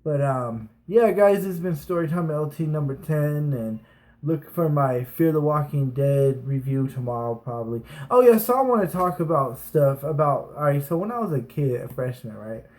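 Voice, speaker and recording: A man with a slightly higher than average voice tells personal stories on his vlog. He talks loosely, circling back with fillers and restarts. The delivery is casual and chatty throughout. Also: animated, normal speed, a little mellow. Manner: rambling, frequent restarts and fillers, laughs while telling. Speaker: vlogger. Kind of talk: casual storytelling.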